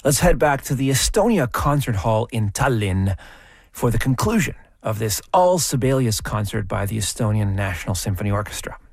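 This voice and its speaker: A man hosting a classical music radio program, presenting in a measured, explanatory storytelling way from a studio microphone. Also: on the low side, animated, vocal fry, dry recording. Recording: dry studio sound.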